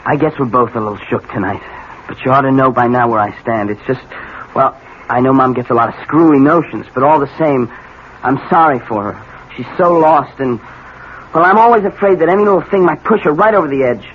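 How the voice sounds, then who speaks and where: An actor playing an earnest, guilty American teenage son with a hesitant, deferential manner, in 1970s radio drama style. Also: male voice, medium pitch, a little fast, natural voice. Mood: troubled, earnest. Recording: muffled, noisy old radio recording.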